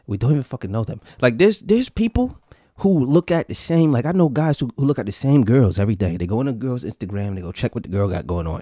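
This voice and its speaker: A man in his thirties riffs to his live audience, swearing freely and repeating lines for laughs. His voice leaps up and down with lively pitch. The sound is close and dry, with no room echo. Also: husky. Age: 30s. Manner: profane, swaggering, repeats lines for comic effect. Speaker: podcast host. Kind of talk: casual live-stream rant to viewers.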